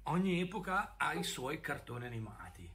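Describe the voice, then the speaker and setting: A man's voice: on the high side, big pitch swings, normal speed. A middle-aged man, rambling casually and searching for words, recorded on a phone at arm's length. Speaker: online video creator. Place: indoor room at home. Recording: noisy handheld phone recording.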